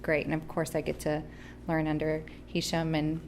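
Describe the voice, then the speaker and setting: A woman in her thirties from Missouri speaks in a low, very even voice. She answers interview questions in a friendly, matter-of-fact way, with light fillers. Faint noise runs under her voice. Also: moderate pace. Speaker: hotel front office manager.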